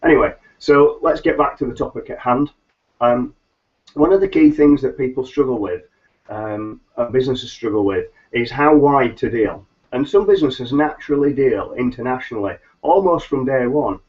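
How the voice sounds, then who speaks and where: A middle-aged man, nasal and a little hoarse from hay fever, hosting a solo business webcast in a rambling way with many ums and restarts. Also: medium pitch, normal speed, darkish tone. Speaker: business consultant host. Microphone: webcam mic on a video call. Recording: slightly dull lo-fi webcam audio.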